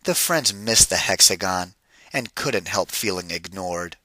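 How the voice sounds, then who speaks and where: A man with a low but very sharp, forceful voice reads a children's story aloud. He is deliberate and emphatic, speaking straight to young listeners like a teacher, in a dry sound. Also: animated, dry recording, low-quality recording. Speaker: read-aloud narrator and teacher. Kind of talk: picture-book read-aloud for children with questions.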